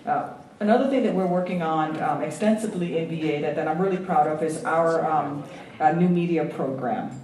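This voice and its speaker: A woman with a slight Hawaiian accent speaking on a panel as a federal agency official, earnest and halting, with many ums and restarts. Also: sits low, a little mellow, reverberant, distant mic, low-quality recording. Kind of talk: panel remarks. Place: event hall at a symposium.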